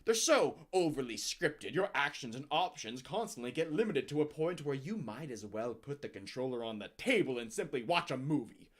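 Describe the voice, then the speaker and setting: A man's voice: medium pitch, animated, moderate pace, hardly any pauses, a bit bright. A man giving a dramatic, grandiose reading of scripted text, with emphatic swells and drops in his delivery. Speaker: narrator reading out a user review.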